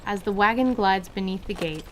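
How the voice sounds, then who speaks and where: A woman narrates a fantasy tale in scripted storytelling style. Her pitch sits in the middle range, and there is clear noise behind the voice. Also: normal speed.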